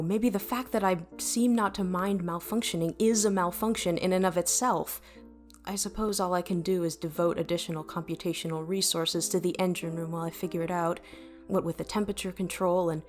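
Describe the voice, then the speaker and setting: A woman's voice: medium pitch, a little mellow, natural voice. A woman playing a vain, witty and easily flustered starship computer in an audio drama, talking in a wordy, technical way.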